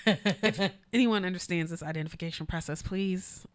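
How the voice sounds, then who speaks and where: A woman's voice: lowish voice, moderate pace. A woman hosting a casual podcast, asking questions and teasing her guests in a wry, incredulous way. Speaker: podcast host. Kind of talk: casual joking interview chat.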